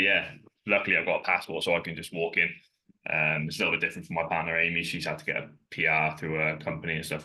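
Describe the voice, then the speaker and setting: A Welsh man in his thirties with a very low voice and a sharp, forceful edge to his tone. He is a podcast guest and fitness coach who talks candidly and loosely, wandering through his thoughts with plenty of fillers. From Wales. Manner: relaxed and candid, rambling with fillers. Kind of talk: casual chat. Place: in Ireland, remote.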